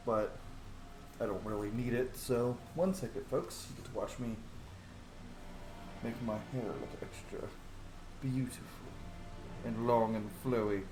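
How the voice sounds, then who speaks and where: Chatty, low-voiced streamer. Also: male voice, fairly even intonation, measured pace, long pauses, a little mellow. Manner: rambling, chatty, swears casually. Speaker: roleplay host playing the game. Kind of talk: casual chat to viewers. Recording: echoey room, faint hiss.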